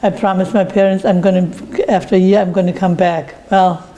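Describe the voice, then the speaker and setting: A woman's voice: fairly low pitch, level tone, fairly quick, dark tone. An older woman from Germany, a winery owner, telling in a plain, storytelling way how she came to America. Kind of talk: oral history interview.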